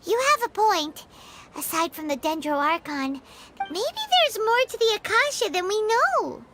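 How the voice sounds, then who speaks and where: A woman voicing a tiny, excitable floating companion who talks about herself in the third person, in video game voice acting. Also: very high-pitched, a little slow, exaggerated voice. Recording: noisy game stream capture.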